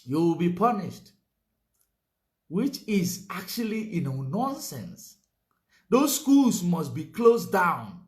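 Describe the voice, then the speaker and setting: Emphatic high-voiced man. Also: big pitch swings, takes it slowly, echoey room. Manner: emphatic, repeats points, many "you know" fillers. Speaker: commentator. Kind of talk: political commentary monologue.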